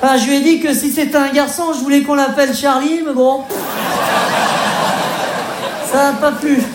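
A woman's voice: high-pitched, level tone, normal speed, a loud crowd, close to an everyday voice. A woman playing a whiny naive young man on the phone. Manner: rambling, hesitant, full of fillers. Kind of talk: stand-up character sketch. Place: stage before a live audience. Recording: echoey live stage, audience noise.

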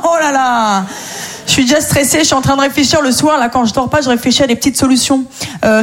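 A woman in her thirties doing a stand-up routine on a club stage, wry and self-mocking. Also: high-pitched. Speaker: stand-up comedian.

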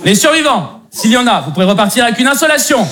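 A man's voice: very high voice, big pitch swings, fast talker, bright, forceful tone, full character voice. A man putting on a pompous male reality-show game host, announcing challenges theatrically.

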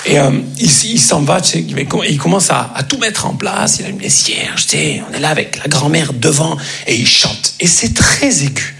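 A man delivers stand-up stories to an audience. His voice sits fairly high for a man and is heavily laced with gravelly creak. He is animated and acts out each exchange as he goes. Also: normal speed, few pauses, music in the background, crowd noise. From France. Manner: animated anecdotes with acted-out dialogue. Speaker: stand-up comedian. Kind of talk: stand-up comedy storytelling to an audience.

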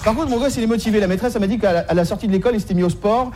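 A man's voice: high voice, racing, over music. A man doing a stand-up comedy monologue in slangy, streetwise, mock-naive style, repeating phrases as he goes, on a live stage recording.

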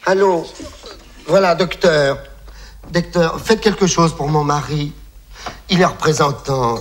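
A man's voice: fairly high pitch, takes it slowly, a little hiss or hum. A man playing a fretful wife on the phone. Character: anxious middle-aged wife phoning a doctor.

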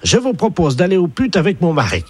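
A man's voice: high voice, huge pitch swings, brisk, background noise. A man voicing a prim, naive older woman, an academician's wife, making a prank phone call. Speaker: prank caller.

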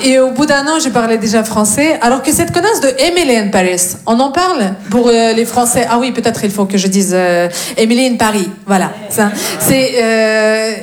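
A woman's voice: fairly high pitch, moderate pace. A woman with a Russian accent, a native Russian speaker, performing a cheeky, teasing stand-up monologue with some swearing to a live audience. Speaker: stand-up comedian. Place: live comedy stage before an audience.

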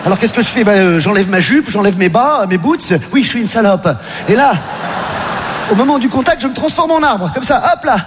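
Humoriste homme à voix très aiguë, hésitant, en salle réverbérante avec bruit de fond. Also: male voice, sits high, expressive, music in the background. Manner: hesitant, halting with many fillers. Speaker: stand-up comedian. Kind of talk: absurd stand-up monologue. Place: stage before a live audience. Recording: live hall recording aired on radio.

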